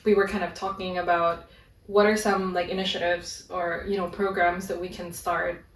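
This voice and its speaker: A woman's voice: on the low side, level tone, moderate pace, far from the mic, a little hiss or hum. Chatty young woman from Indonesia, roomy sound. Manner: chatty, laughs easily, many fillers. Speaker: guest, online sex educator. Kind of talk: casual conversational interview.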